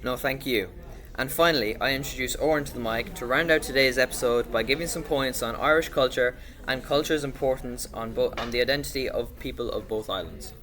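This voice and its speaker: A man's voice: mid-range voice, brisk, fairly bright tone. A young man presenting as a podcast host in a scripted, introductory style.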